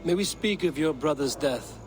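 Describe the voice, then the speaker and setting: An actor playing a grim, blunt Viking raider leader in a video game, terse and stern in formal phrasing. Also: male voice, a little high, expressive, normal speed, over music, natural voice, poor audio. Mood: stern, pressing. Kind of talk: dramatic video game cutscene acting. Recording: clear outdoor ambient noise behind.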